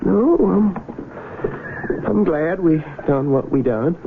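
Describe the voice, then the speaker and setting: A man's voice: fairly high pitch, lively intonation, extremely slow, gravelly, exaggerated voice. A man playing a sober, doubtful young Union soldier in a 1970s radio drama, plain-spoken and brooding with rural American speech. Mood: anxious. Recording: very noisy, tinny vintage radio broadcast.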